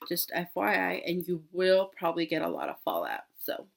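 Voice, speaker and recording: A woman's voice: lowish voice, huge pitch swings, normal speed, vocal fry. A woman in her twenties, sick with a cold, chatting casually through a makeup tutorial with many fillers and restarts. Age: 20s. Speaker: beauty vlogger.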